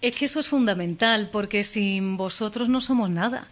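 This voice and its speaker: A woman singer from southern Spain with an Andalusian accent, chatting warmly and quickly as a radio guest. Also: mid-range voice. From Lebrija, Andalusia, Spain. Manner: warm, chatty, quick storytelling with laughs. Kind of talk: casual radio interview.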